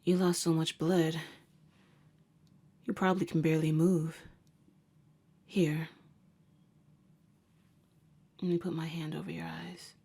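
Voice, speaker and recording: A woman's voice: low-pitched, creaky, dark tone, breathy edge, exaggerated voice. A woman playing a jaded, sardonic vampire hunter who works as a nurse, talking in a wry, slangy way in an ASMR roleplay. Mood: steady, coaxing. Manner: wry, casual, slangy, unhurried. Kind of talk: ASMR roleplay audio drama.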